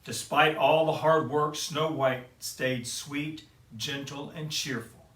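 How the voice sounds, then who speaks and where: A man's voice: on the high side, fairly even intonation, takes it slowly, a bit bright, far from the mic. Cheerful man reading to kids, echoey room. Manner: cheerful, sing-song, talks directly to children. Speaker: children's storyteller and host. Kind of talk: reading a picture book aloud to kids. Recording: echoey room, away from the mic.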